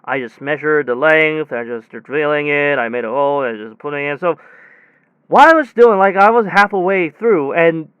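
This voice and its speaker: A man acts out a technician in an audio drama, chatting through a strange workday. His voice sits fairly high and is very clear, yet he stumbles, repeats himself and wanders off track. The recording is dry and slightly dull. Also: no room sound, close to an everyday voice. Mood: matter-of-fact recounting. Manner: halting, rambling, repetitive, self-interrupting. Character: rambling male technician recounting his bizarre workday. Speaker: air conditioner installation technician. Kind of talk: conversational audio drama monologue.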